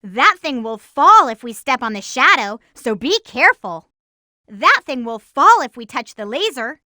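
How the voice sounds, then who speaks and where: Cartoon hedgehog girl, game hero, very sharp bright tone, chirpy, eager, close dry sound, clear tutorial delivery. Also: high-pitched, sing-song, unhurried, dry recording, full character voice. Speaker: playable character giving gameplay hints. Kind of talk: video game tutorial voice acting.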